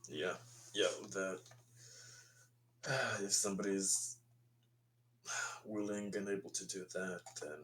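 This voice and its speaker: Hesitant low-voiced man, faint hum. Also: a bit bright. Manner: hesitant, halting, filler-heavy. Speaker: tabletop game player. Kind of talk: casual in-character roleplay chat. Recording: faint background noise and hum.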